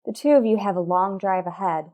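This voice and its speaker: A worried, composed mother and estranged wife acted by a woman in an old-fashioned radio soap. Her mid-range voice moves in proper, deliberate phrases. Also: mid-range voice, dark tone, natural voice. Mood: gently coaxing.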